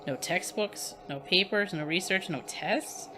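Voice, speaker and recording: A woman's voice: on the low side, measured pace, pushed and bright, a little hiss or hum. A woman voicing an earnest young student who questions her teacher and then politely defers. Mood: puzzled.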